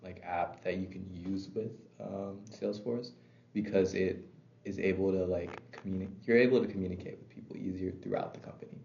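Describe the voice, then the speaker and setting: A man role-playing a sales representative making a pitch. He says he has been sick, and he hesitates with many fillers. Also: fairly even intonation, a little fast, creaky, mellow, a little hiss or hum. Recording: dull sound in an echoey room.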